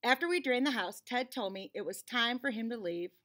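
A woman in her forties giving an expressive, dramatized storybook read-aloud for children in a small room. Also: fairly high pitch, fairly quick. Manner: animated, clearly dramatized for children.

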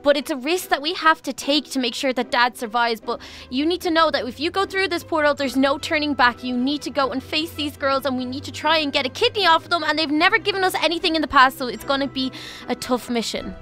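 Woman acting high, bright, earnest young princess. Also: quick pace. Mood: serious, instructing. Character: young princess sister, earnest and bossy leader. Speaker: roleplay channel performer. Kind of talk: improvised children's game roleplay.

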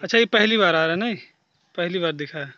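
A young man in his twenties from northern India, chatting excitedly in a casual vlog from a village rooftop, recorded on a phone at arm's length. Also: sits high, quick pace, no room sound, lo-fi sound. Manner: excited, chatty, laughing easily. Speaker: vlogger. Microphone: phone held at arm's length.

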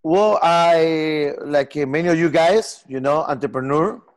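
A man in his forties from Peru, speaking English with a Peruvian Spanish accent, earnest and halting in a casual video-call interview. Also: on the high side. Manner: earnest, gestures a lot, halting non-native phrasing. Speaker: software company founder. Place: home room with lamp and armchair.

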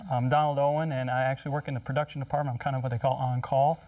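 A man in his thirties, a studio guest, introducing himself quickly with many fillers. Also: medium pitch, little pitch movement, darkish tone, dry recording, far from the mic. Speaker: guest, production worker. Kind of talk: casual TV panel chat. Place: TV studio set, seated.

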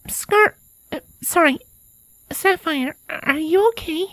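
A woman acts out a small pony filly in an audio storybook, timid and full of concern. Her voice is high and slow, with a hesitant, hushed delivery in a dry recording. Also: no room sound, exaggerated voice. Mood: worried, hushed. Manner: hesitant, low hushed speech. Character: timid, worried young female pony foal.

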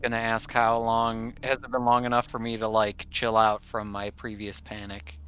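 A man's voice: fairly low pitch, completely flat delivery, normal speed, fairly bright tone, lo-fi sound, a little hiss or hum. A man playing a tabletop game, talking casually with the other players.

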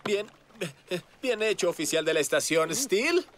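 A man's voice: sits high, lively intonation, measured pace, music in the background. A man voicing a kindly, easygoing fisherman in a Latin American Spanish cartoon dub. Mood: encouraging. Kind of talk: animated children's cartoon dub.

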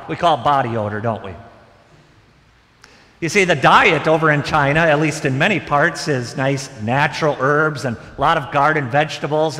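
Animated middle-aged pastor in a reverberant church. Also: male voice, a little high. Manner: animated preaching with wide gestures, moving about behind the pulpit. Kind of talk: sermon. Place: large church sanctuary.

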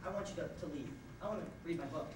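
A man's voice: on the high side, rapid-fire, close to an everyday voice. A young man playing a polite, flustered, reluctant fellow in an amateur stage comedy, with hesitant, stammering delivery. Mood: polite, annoyed. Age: young adult. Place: theatre stage, indoors. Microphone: far camera microphone. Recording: distant, echoey, noisy old stage videotape.

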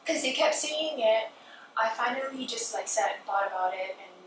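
A woman's voice: fairly high pitch, animated, moderate pace. A woman from Malaysia in her thirties, chatting casually as an interview guest on a sofa with lots of "like" and "you know". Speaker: guest, skincare founder. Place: living room, seated on a sofa. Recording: echoey room from a distance, noticeable room noise.

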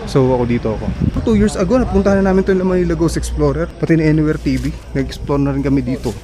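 A man in his thirties hosting a walking vlog through an abandoned building, talking chattily to a handheld camera and dropping to a hush in dark rooms. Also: on the high side, quick pace, crowd noise. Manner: chatty, excitable, trails off into hushed remarks in dark rooms. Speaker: vlog host exploring abandoned places. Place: abandoned concrete building, indoors and outdoors. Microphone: handheld action camera at arm's length.

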